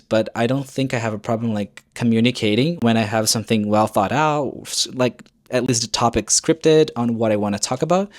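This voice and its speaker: An earnest young man talks straight to his viewers in a casual vlog, speaking English, which is not his first language, at an average pitch. He sounds encouraging and often hunts for a word and starts a phrase over before going on. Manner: earnest and encouraging, searches for words and restarts. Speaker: YouTuber. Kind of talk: casual advice vlog to camera.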